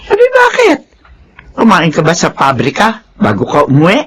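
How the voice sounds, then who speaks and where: A woman's voice: lowish voice, wildly swooping pitch, normal speed, a little hiss or hum. A woman playing a caring, easily alarmed older mother in a Filipino radio drama. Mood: calling, caring.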